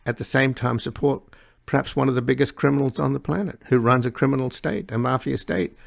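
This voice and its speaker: A man hosting a political interview in a gravelly, creaky voice, putting long, halting, opinionated questions. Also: mid-range voice, dry recording, studio quality. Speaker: interview host.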